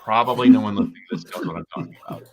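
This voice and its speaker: A man's voice: mid-range voice, very fast, vocal fry, a little hiss or hum. A man on a casual chat panel, rambling through nerdy asides and poking fun at himself. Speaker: podcast panelist.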